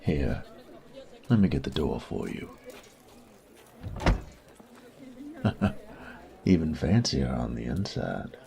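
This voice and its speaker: A man playing a cocky, sadistic bandit in a roleplay audio drama, smug and teasing, with a gravelly voice that often drops to a breathy whisper and taunting, drawn-out phrasing. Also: rumbling low, very animated, a crowd in the background, noisy background, full character voice. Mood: mock courteous. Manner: slow, taunting, drawling, savoring pauses.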